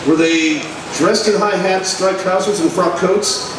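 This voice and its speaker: A man playing a calm, probing newspaper reporter in old-time radio drama style, with measured, earnest questioning. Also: sits high. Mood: urgent, earnest. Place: live stage recreation at a festival. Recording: echoey hall, distant mic, noisy.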